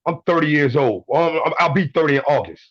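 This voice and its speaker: A man's voice: fairly high pitch, lively intonation, fast, fairly bright tone, no echo, muffled recording. A man in his twenties calling in to a show, telling blunt stories, swearing and repeating himself. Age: 20s. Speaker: caller. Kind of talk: casual call-in story.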